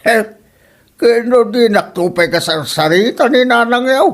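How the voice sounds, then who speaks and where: An actor playing an excitable, thrifty husband who bickers in a comedy drama, with music behind him. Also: male voice, extremely high pitch, unhurried, vocal fry, exaggerated voice. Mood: animated. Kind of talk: comedy radio-style drama acting.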